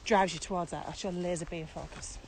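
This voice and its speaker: A woman's voice: fairly low pitch, fairly even intonation, brisk, background noise. A British woman co-hosting a casual podcast as a training expert, explaining emphatically with repeated key phrases and rhetorical questions.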